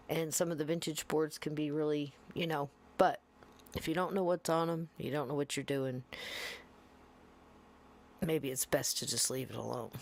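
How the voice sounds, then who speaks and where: A woman hosting a podcast in casual, rambling chat, sometimes dropping into hushed asides. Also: low voice. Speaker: podcast host.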